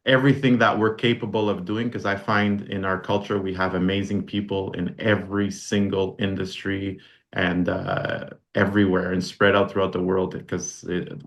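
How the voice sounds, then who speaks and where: A man in his forties with a slightly low voice talks through his answers as a podcast guest. He rambles easily, circling back with fillers and restarts as he thinks. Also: little pitch movement, normal speed. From Canada. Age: 40s. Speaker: podcast guest, brand founder. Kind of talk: casual interview chat.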